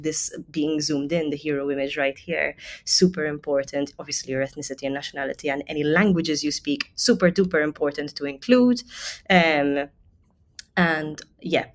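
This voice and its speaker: A woman with a Maltese accent giving a casual, chatty tutorial as an actor advising other actors, stressing her key words in an informal way. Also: sits low. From Malta. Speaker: stage actor giving advice to self-represented actors.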